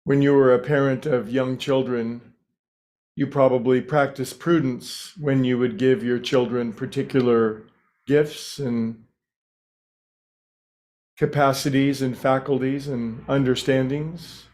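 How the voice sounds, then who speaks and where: A man leading a guided meditation, speaking in short, unhurried phrases with pauses between them. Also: medium pitch, steady tone, a little mellow.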